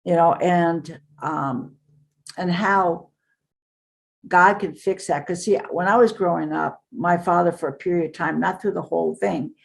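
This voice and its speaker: A woman's voice: fairly low pitch, steady tone, unhurried, creaky. An older woman, a book author, talking in a casual interview, earnest and rambling with many fillers. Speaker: author and guest.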